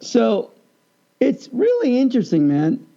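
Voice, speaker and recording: A man, co-hosting a podcast as a lively storyteller who laughs often and keeps checking in with "right?". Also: very high-pitched, unhurried, creaky, dull audio. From Kansas, United States. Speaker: podcast co-host. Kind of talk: casual chat.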